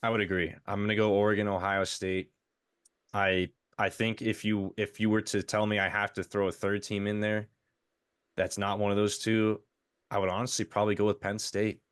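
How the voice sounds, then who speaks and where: A man's voice: fairly low pitch, level tone, moderate pace, clean tone, dry recording, clean studio sound. A man co-hosting a casual sports podcast, talking with frequent restarts, his voice tired. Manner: stammering restarts, conversational. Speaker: podcast co-host.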